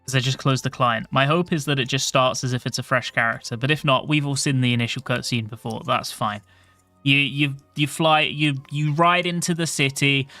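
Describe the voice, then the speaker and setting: A man streaming a game, chatting casually to his viewers in a soft voice, rambling and swearing offhandedly. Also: medium pitch, fairly even intonation, normal speed, no echo, faint music behind. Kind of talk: casual livestream chat.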